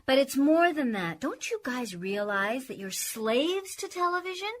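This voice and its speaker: A woman's voice: sits high, big pitch swings, measured pace. A woman playing an earnest, exasperated mother in a radio comedy, prim and scolding. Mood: disappointed, earnest. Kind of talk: sitcom-style radio comedy acting.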